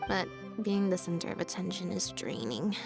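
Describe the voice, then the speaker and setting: A woman voicing a laid-back, deadpan young stage magician's assistant in a video game, speaking in an unhurried, matter-of-fact way. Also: lowish voice, vocal fry. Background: game background music.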